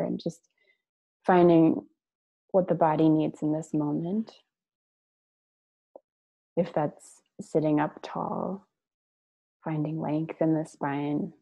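Female meditation guide, gravelly fry in a dark, mellow voice, unhurried delivery, dull online-call audio. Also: sits low. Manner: measured, gentle, with long pauses between short phrases. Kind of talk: guided meditation, slow soothing instruction and reading aloud.

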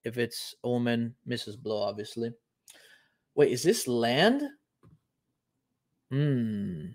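A man in his thirties, from Puerto Rico, talks casually from a home office into a desk mic. His pitch sits in the middle range, and he thinks out loud with plenty of ums and loose restarts as he hosts a live call-in show. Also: expressive, takes it slowly. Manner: conversational, thinks aloud, frequent ums. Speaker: host.

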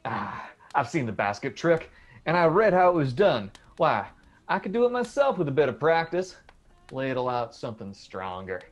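An actor playing a teasing, sceptical young electrician son in a radio drama, breezy and colloquial. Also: male voice, medium pitch, very animated, moderate pace.

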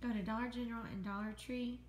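A chatty woman in her thirties with a Southern American accent and a fairly high voice. She talks off-camera from behind a handheld phone, adding details as her daughters talk. The living room sounds echoey, with faint noise in the background. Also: fairly even intonation, fast, a little mellow. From Southern United States. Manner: chatty, chiming in to add details. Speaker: mother filming her daughters. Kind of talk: casual family chat. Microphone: handheld phone camera, speaker off-screen.

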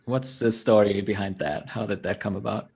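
A man with a somewhat low voice hosts a podcast interview. He asks his questions in a friendly, easygoing way, laughing readily and pausing on ums. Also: fairly even intonation, gravelly, a little mellow, breathy edge. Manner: friendly, laughs easily, hesitant um. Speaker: podcast host.

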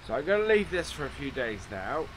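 A man's voice: a little high, big pitch swings, brisk, a little hiss or hum, low-quality recording. Stuffy, slightly nasal man gaming. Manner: easygoing, thinking aloud as he works. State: stuffy from hay fever. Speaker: farming simulator role-play vlogger. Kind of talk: casual commentary while playing.

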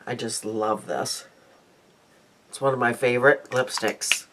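A woman's voice: extremely low pitch, unhurried, background noise. An older woman chatting casually to her webcam in a small room at home, rambling and restarting her sentences, her nose congested. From Midwestern United States. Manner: rambling, self-interrupting, chatty. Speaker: beauty vlogger. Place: small cluttered room indoors. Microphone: webcam at desk.

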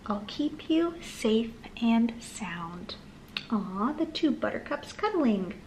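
A woman reading aloud to young children in a sing-song manner with gentle asides. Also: on the high side, very animated, slow pace, slight background noise, poor audio. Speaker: children's storytime host and reader. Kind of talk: read-aloud storytime for toddlers, addressing young children. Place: small living room, on a sofa, indoors.

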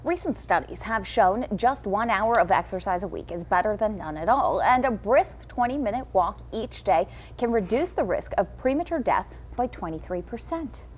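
A woman's voice: medium pitch, expressive, moderate pace, hardly any pauses, a little hiss or hum. A woman news anchor reading stories and bantering in a TV studio. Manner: bright, laughing banter.

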